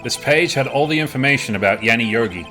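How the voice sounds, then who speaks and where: An earnest young lawyer, played by a man in a fan game dub. He argues insistently in a mid-range voice, with music clearly heard behind him. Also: mid-range voice, lively intonation, fast talker, sharp tone. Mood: determined. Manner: emphatic courtroom argument. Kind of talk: amateur video game dub.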